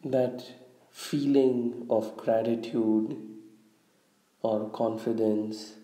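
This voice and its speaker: Very mellow man guiding a slow meditation. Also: medium pitch, steady tone. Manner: deliberate, measured, with long pauses between phrases. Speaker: guided visualization leader. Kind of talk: guided meditation, slow instructional narration. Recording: roomy, echoing room sound.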